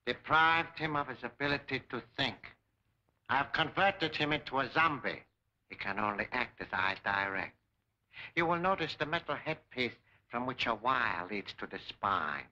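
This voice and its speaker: An actor playing a suave, menacing Japanese mastermind in a 1940s film serial, speaking formally with cold, pompous courtesy. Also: male voice, mid-range voice, lively intonation, moderate pace, bright, forceful tone. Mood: coldly commanding. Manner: formal, grandiose, coolly courteous. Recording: dull 1940s film serial soundtrack, music.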